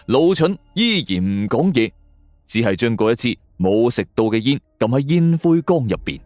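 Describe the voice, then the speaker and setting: A man's voice: medium pitch, big pitch swings, normal speed, a little mellow, no room sound. A man with a Hong Kong Cantonese accent narrating a detective story dramatically in the first person. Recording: background music under voice. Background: music.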